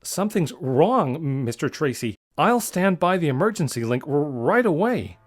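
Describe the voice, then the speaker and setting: A man's voice: on the high side, big pitch swings, normal speed. A man voicing a nervous, bookish male scientist with a hesitant, polite stammer in an adventure story. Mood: worried, urgent. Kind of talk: audio adventure story character voice.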